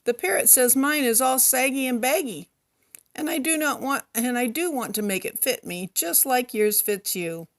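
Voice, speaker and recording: A woman voicing a small, anxious young male elephant who pleads in a high, eager voice. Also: animated, moderate pace. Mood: pleading.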